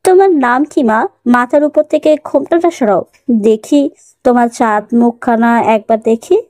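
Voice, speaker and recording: Audio-story mother-in-law , acted by a woman: middle-aged, motherly, bossy, mellow high voice. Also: unhurried, natural voice. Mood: tender. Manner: motherly, commanding.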